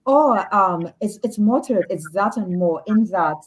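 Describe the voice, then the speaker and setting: A woman's voice: mid-range voice, animated, moderate pace, rough recording. A woman with a Trinidadian accent, a guest on a radio talk show, explaining research earnestly with some restarts. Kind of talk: radio interview.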